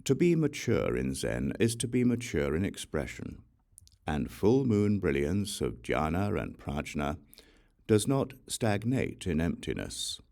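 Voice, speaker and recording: A man with a low, gravelly voice reads spiritual verse for an audiobook. He takes his time, setting short phrases apart with deliberate pauses. His pitch moves expressively within that deep register. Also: big pitch swings, darkish tone. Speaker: audiobook reader. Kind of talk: slow, measured reading of spiritual verse.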